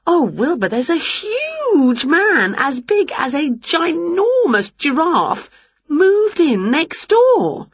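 A woman voicing an excitable, dramatic witch who is easily put out, in a children's storybook. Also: sits high, slow, no room sound, highs cut off, pitched above the performer's own voice, full character voice. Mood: indignant. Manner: exclamatory, dramatic.

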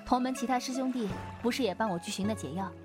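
A woman's voice: a little high, quick pace, over music, natural voice. A woman playing an easygoing, bashful young Taoist swordswoman in a Chinese wuxia audio drama. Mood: reassuring, placating.

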